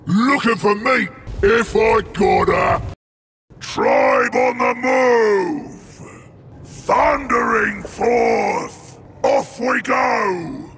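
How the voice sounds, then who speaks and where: Bellowing gravelly ogre brute. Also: male voice, high voice, huge pitch swings, extremely slow, a bit bright, raised voice, soft background music, full character voice. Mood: eager, rowdy. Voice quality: gruff, gravelly. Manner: bellowing short blunt war cries. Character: huge, brutish, ravenous ogre warrior, male. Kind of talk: video game unit battle barks.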